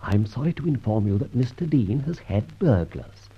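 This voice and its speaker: Smooth-talking gentleman crook, very raspy voice, swoops around a lot, muffled old radio play. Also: medium pitch, animated, normal speed. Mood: breezy, commanding. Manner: breezy, witty, upper-class turns of phrase. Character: suave gentleman adventurer thief, cool, jaunty and commanding. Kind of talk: old radio drama acting.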